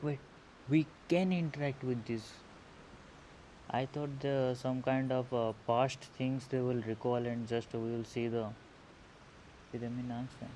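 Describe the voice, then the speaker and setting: A man giving casual gameplay commentary, thinking aloud haltingly, recorded on a murky earphone microphone. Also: medium pitch, steady tone, dark tone, rough recording. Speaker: gaming video host. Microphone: earphone mic. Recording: hissy, murky earphone mic.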